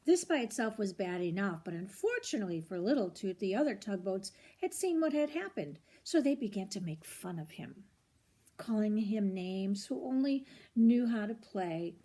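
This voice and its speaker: An older woman reads a children's storybook aloud in an expressive, grandmotherly way, with short asides to the listener. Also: mid-range voice, moderate pace. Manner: expressive, grandmotherly, commenting on the pictures.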